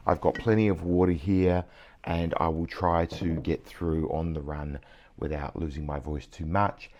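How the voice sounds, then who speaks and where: A middle-aged man with a croaky voice, hosting a vlog and talking through industry news quietly at night, with bursts of excitement over the numbers. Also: sits low, measured pace, dull audio, a little hiss or hum. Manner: keeps voice hushed and quiet; enthusiastic, excitable about numbers. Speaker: CEO and vlog host. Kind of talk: casual news commentary vlog. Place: indoors at night.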